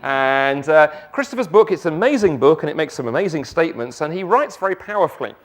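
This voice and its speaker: Lively man with a South London accent. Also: on the high side, fast talker. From England. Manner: lively, joking asides, rhetorical questions. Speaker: guest speaker. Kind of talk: evening church talk.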